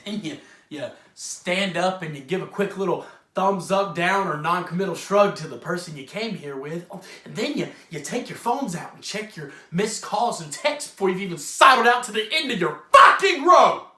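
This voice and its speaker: A young man acting a bitter, self-pitying failed playwright in a theatrical monologue, halting and profane as he addresses the audience. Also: high voice, lively intonation, pushed and bright, full character voice. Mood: sardonic, mocking. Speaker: student actor. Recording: roomy, echoing room sound.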